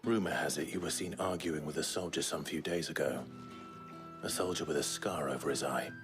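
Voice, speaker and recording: An actor playing a grim, terse warrior on a search in a video game, brooding and low-key. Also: male voice, steady tone, fairly quick, music in the background. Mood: stern. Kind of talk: video game cutscene acting.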